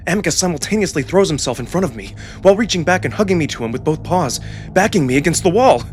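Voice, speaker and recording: A man giving a suspenseful, expressive first-person narration of a dramatic story. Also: on the high side, fast. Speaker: narrator and voice performer. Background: background music.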